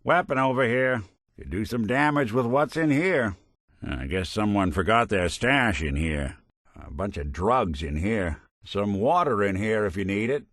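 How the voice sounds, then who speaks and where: A world-weary robot gumshoe for a video game, in a lowish voice recorded close and dry. His delivery swings up and down expressively. He talks in wry, old-fashioned private-eye slang, folksy and loyal. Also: animated, a little slow, a bit bright, no room sound. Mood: casual, alert. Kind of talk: video game companion voice lines.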